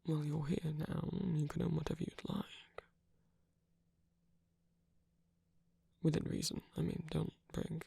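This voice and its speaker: A performer voicing a soft-spoken villain in an intimate romantic ASMR roleplay, gentle, protective and hesitant, with a breathy, husky, often whispered delivery. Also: mid-range voice, little pitch movement, fairly quick, heavily acted. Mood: affectionate, coaxing. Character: soft-spoken villain, tender and protective toward abandoned hero.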